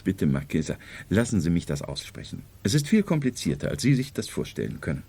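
Ein Schauspieler spielt in einem Hörspiel der Siebziger einen gelehrten, selbstsicheren Psychiater, der mit heiserer Stimme förmlich und dozierend spricht. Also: male voice, low voice, sing-song, brisk, gravelly, mellow, husky, heavily acted. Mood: lecturing. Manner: pedantic, lecturing, formal. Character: learned, self-assured male psychiatrist, explaining at length. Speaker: radio drama actor. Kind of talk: 1970s radio drama acting.

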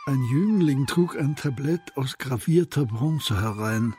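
A man's voice: medium pitch, lively intonation, a little slow, dark tone. A man narrating a literary radio drama as a scripted storyteller. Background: faint music.

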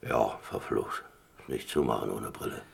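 A gruff ship's captain in a radio drama, with an extremely deep voice. He talks in short, colloquial bursts, sardonic and grumbling. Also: unhurried, exaggerated voice. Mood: irritated. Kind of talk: German radio play acting.

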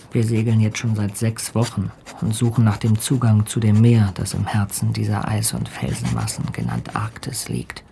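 Slow, gravelly seafarer. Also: male voice, on the low side, warm tone, slight background noise. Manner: hesitant, reflective. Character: weary Arctic seafarer confiding fears in his diary. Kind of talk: German radio drama monologue.

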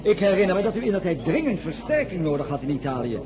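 Barras, a high-voiced pompous statesman. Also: big pitch swings, fast. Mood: suave, probing. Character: Barras, pompous male head of government. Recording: murmur of a salon crowd behind.

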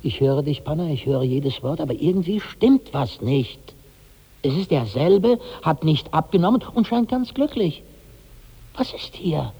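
An actor playing an anxious, doubting companion in a 1960s radio play, with a gravelly, raspy, questioning voice. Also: male voice, on the high side, animated, unhurried, mellow, full character voice. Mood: uneasy, panicky. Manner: uneasy, questioning, searching. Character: Amram, anxious, doubting male companion of a glutton. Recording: dull vintage radio recording, long reverb.

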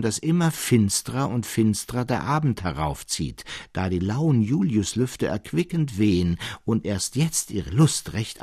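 A man reading a classic literary tale as scripted narration, in an expressive, precise storytelling manner. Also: on the low side, husky, dry recording, studio quality. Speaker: audiobook narrator.

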